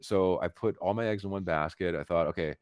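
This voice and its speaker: An American man telling his story casually as an interview guest, halting and repeating words with emphatic asides. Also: deep voice, darkish tone, clear, resonant tone, no echo. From United States, Philadelphia. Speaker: interview guest, concert pianist.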